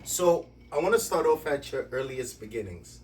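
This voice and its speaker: A man's voice: medium pitch, normal speed, distant mic, a little hiss or hum. Man hosting from a basement studio, off-mic. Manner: lively, playful introductions. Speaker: podcast host. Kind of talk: podcast interview.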